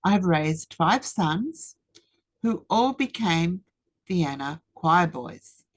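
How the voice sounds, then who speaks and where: A woman, giving a rehearsed webinar presentation in a measured, deliberate way with emphatic pauses. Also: lowish voice, animated. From Australia. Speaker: speaker. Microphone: webinar computer mic.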